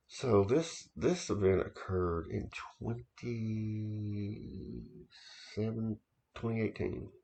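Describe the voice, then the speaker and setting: A man in his thirties or older with a very deep, gravelly voice tells a personal story in a casual, halting way, often repeating himself and restarting his sentences. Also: warm tone. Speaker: occult author recounting experiences.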